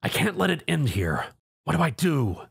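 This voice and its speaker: A man's voice: fairly high pitch, very animated, moderate pace, vocal fry, a bit bright, slightly rough, exaggerated voice. A man voicing an earnest armored hero, urgent and quippy, in a story. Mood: determined.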